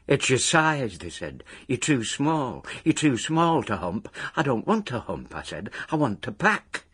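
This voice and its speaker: An actor playing a small, obsessive, retired old removals packer in a radio monologue, husky and wordy, wistful and full of self-importance. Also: male voice, mid-range voice, big pitch swings, a little slow, a bit bright, heavily acted. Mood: nostalgic, aggrieved. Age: older. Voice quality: raspy, husky. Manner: wordy, self-important, working-class grammar with grand phrases.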